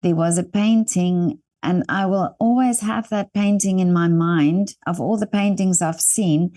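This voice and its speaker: A woman's voice: fairly low pitch, measured pace. A woman from South Africa, an Afrikaans native speaker with a South African accent, hosting an interview and talking in an encouraging, rambling way. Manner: warm, encouraging, rambling with restarts. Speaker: interview host. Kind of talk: interview conversation.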